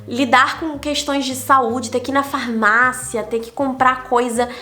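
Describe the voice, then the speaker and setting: A woman's voice: high-pitched, little pitch movement, unhurried, sharp tone, faint music behind. A young Brazilian woman with a Brazilian Portuguese accent, talking candidly in a casual vlog and counting off numbered lessons. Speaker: digital nomad vlogger. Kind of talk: casual reflective vlog monologue.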